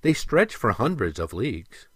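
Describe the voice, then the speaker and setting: A man voicing a gentle, earnest female mermaid in a storybook, with soft, stately phrasing. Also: mid-range voice, very animated, moderate pace, slightly rough, no echo, clean studio sound. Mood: helpful, informative.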